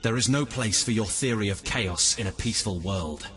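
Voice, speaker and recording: An actor playing an earnest, righteous god of peace in a video game, speaking sternly. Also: male voice, lowish voice, normal speed, natural voice. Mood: indignant urgency. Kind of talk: video game cutscene acting. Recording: loud ambient noise behind.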